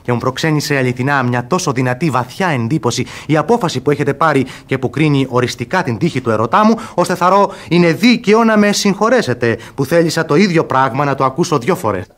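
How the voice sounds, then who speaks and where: A lovestruck young gentleman from a classic radio farce, played by a man. His voice is high for a man, with formal and courteous phrasing. He is deferential and theatrical throughout. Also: lively intonation, lightly acted. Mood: earnest, courtly. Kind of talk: Greek radio theatre comedy acting.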